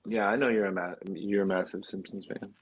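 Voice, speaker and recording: A man's voice: low-pitched, steady tone, fairly quick, husky. A man co-hosting a film podcast in a casual, conversational style.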